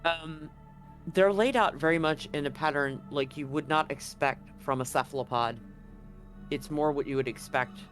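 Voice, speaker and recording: A man with a slightly high voice runs a tabletop roleplaying session. He describes scenes casually and haltingly as game master, with music playing softly behind him.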